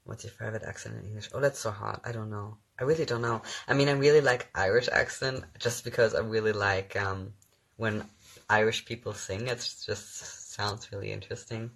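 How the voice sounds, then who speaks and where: Young german guy on a phone livestream, lowish gravelly voice, reading questions out and laughing a lot. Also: steady tone, brisk, a bit bright. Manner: reads viewer questions aloud, answers with frequent ums and laughs. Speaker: actor hosting his own livestream. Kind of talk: casual livestream chat answering comments.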